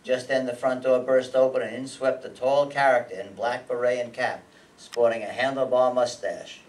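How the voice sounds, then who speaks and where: A man's voice: medium pitch, fairly even intonation, normal speed, lightly acted. An older man playing a hard-boiled, sardonic private detective and narrator in a radio play, terse and matter-of-fact. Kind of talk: old-time radio acting and noir narration. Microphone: stand microphone on stage, heard from audience. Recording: live stage pickup from far off, music.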